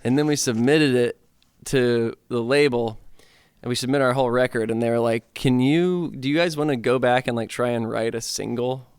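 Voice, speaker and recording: Gravelly-voiced guy in his 30s, rambly and relaxed, radio studio, dry sound, a little music in the back. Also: mid-range voice, normal speed, no echo. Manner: loose, filler-heavy talk with lots of "like". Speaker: musician guest. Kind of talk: casual interview chat.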